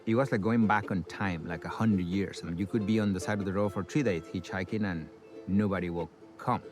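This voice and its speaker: A middle-aged man from Costa Rica with a Costa Rican accent, a river guide talking in a relaxed, reflective documentary voice-over. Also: fairly low pitch. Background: music.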